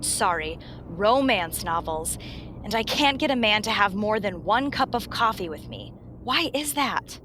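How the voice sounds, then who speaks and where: A woman's voice: medium pitch, expressive, unhurried, bright, forceful tone, slight background noise. A woman playing a dramatic, exasperated romance novelist in her thirties in a radio play, emphatic and self-deprecating. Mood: pleading, indignant.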